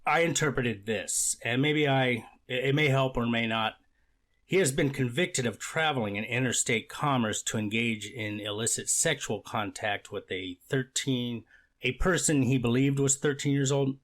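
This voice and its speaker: A man's voice: mid-range voice, a bit bright, natural voice. A man playing a skeptical, deliberative judge in a readers theater of a court transcript, speaking formally and picking his words with care. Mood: musing, skeptical. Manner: formal, deliberate, hedging and self-correcting. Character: skeptical, deliberative male judge presiding over hearing.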